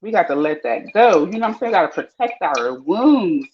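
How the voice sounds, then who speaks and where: A woman with a Panamanian accent, chatting casually with her viewers while she cooks, lively and full of slang. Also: normal speed, slight background noise. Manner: lively, chatty, slangy, swings high when excited. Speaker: vlogger. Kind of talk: casual live-stream chat while cooking. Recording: dull lo-fi audio.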